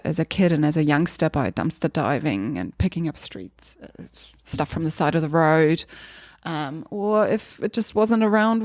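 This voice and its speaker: Soft-spoken woman with a German accent. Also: sits low, no echo, studio quality. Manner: thoughtful, hesitant with many ums. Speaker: podcast guest. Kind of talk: casual interview chat.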